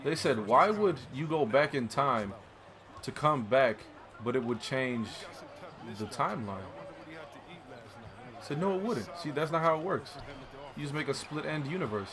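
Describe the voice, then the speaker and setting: A man's voice: medium pitch, lively intonation, moderate pace, pauses often. A man chatting casually about a film, emphatic and slangy with frequent swearing. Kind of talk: casual chat about a film while gaming. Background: crowd noise and basketball bouncing from a game.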